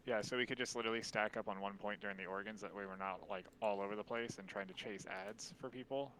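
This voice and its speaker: Streamer leading a raid, deep voice held soft, bright edge, casual fillers. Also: male voice, steady tone, fast talker, a little hiss or hum, rough recording. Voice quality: deep voice, speaks quietly on purpose. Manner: explaining, loose with many fillers. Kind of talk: casual gaming voice chat.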